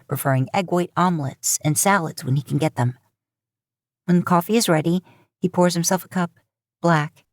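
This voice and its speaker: Female narrator, deep-pitched voice, measured storytelling, scripted fiction reading, dry acoustics. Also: a little mellow, no room sound. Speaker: podfic narrator.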